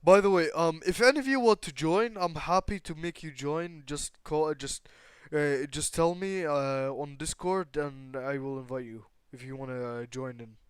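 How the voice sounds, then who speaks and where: A man telling improvised fan fiction in a casual, rambling, halting way, with some swearing as he goes. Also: fairly high pitch, clean tone, dry recording, studio quality. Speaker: storyteller and host of a fan what-if channel. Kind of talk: casual improvised retelling of anime fan fiction with acted dialogue.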